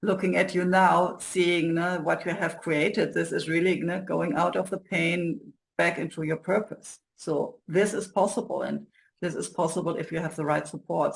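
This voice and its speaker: A woman hosting an interview, speaking earnestly and supportively in halting phrases as she encourages listeners. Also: fairly low pitch, creaky. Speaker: interview host, coach.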